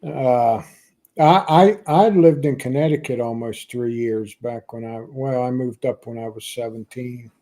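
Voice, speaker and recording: Man from Pennsylvania, casual host, mellow tone, chatty, laughs easily. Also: medium pitch, a little slow. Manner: chatty, warm laughs, many "you know" fillers. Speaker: podcast host. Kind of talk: casual chat on a livestream.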